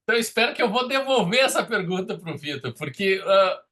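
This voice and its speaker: Bright, forceful man. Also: high-pitched, big pitch swings, fairly quick. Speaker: podcast host and film critic. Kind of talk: casual film discussion.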